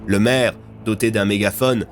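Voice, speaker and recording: A man's voice: lowish voice, fairly even intonation, normal speed, faint music behind. A man reading scripted French audio fiction as its narrator.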